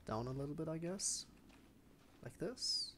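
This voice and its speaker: Higher-voiced man casually streaming a game. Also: expressive, measured pace, pushed and bright, slight background noise. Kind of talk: casual live gameplay commentary.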